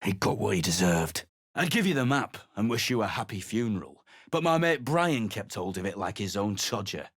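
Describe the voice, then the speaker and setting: Gruff sellsword, husky, low-voiced, dry studio sound. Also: male voice, sing-song, moderate pace, fairly bright tone, dry recording, full character voice. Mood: surly. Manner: surly, contemptuous. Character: gruff rough-spoken male mercenary leader. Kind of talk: video game voice acting.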